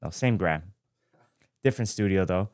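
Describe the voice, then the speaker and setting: A man in his twenties from Iran, telling his life story in a relaxed, reflective way as host of his own show, often pausing and correcting himself. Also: deep voice, no room sound, clean studio sound. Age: 20s. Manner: reflective, with frequent fillers and self-corrections. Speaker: podcast host. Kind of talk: casual personal storytelling. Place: studio.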